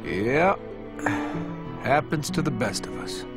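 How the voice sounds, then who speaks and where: A man's voice: mid-range voice, expressive, slow pace. A man playing a cocky, teasing tough guy in a dubbed video game cutscene. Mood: wry. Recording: loud music behind the voice.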